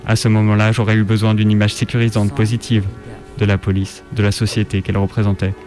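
A man's voice: lowish voice, monotone, fast talker, a little mellow, faint music behind. A man reading a first-person literary narrative aloud.

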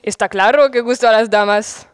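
A teenage woman playing a vain, excitable older knight in a student audio drama, with a pompous, self-admiring manner. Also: fairly high pitch, brisk, clean tone, no echo, lightly acted. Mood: boastful.

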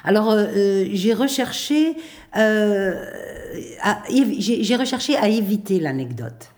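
A middle-aged woman, speaking thoughtfully in a radio interview, pausing and restarting often. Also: medium pitch, expressive, a little mellow. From Belgium. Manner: thoughtful, hesitant with many pauses and restarts. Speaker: playwright and performer.

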